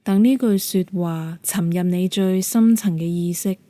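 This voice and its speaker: A woman with a Hong Kong Cantonese accent leading a guided meditation in a calm, gentle, measured manner. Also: on the low side, no room sound. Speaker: yoga nidra meditation guide.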